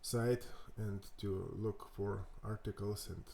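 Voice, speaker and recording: A man with a Lithuanian accent talks through a coding walkthrough at a slow, unhurried pace. His voice sits low and mellow, and he thinks aloud, stopping and restarting with lots of fillers. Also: steady tone, creaky, slight background noise. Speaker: coding tutorial host. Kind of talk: casual screen-recorded coding walkthrough.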